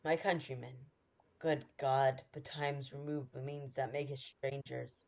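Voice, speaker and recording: A young actor plays a noble exiled prince in a Shakespeare radio drama. The delivery is earnest, stately and measured, at a medium pitch. The sound is dull and lo-fi. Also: level tone, gravelly, a little mellow. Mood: hopeful, stately. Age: young adult. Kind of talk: 1940s radio play Shakespeare acting. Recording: dull lo-fi audio.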